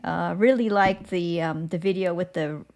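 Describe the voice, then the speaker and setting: A woman hosting a casual podcast interview, choosing her words in a halting, careful way. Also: lowish voice, darkish tone.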